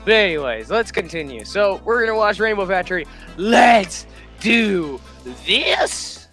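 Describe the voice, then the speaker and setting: Excitable, bright-voiced man reacting. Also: sits high, a little slow, soft background music. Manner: exclamatory, bursting into raised voice. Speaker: host of a reaction video. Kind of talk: excitable casual reaction commentary.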